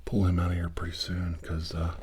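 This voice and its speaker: A man playing a calm, caring 1940s army doctor in an ASMR role-play, murmuring hesitantly and often dropping to a whisper. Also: low-pitched, fairly quick, lightly acted. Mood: urgent, reassuring. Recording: noisy recording with clicks.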